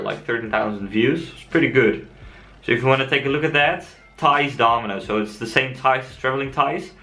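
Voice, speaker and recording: A young Dutch man with a Dutch accent, answering viewer questions in a relaxed, chatty vlog. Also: lowish voice. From Netherlands. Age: young adult. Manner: relaxed and chatty, frequent fillers. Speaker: cycle-touring vlogger. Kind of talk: casual vlog Q&A.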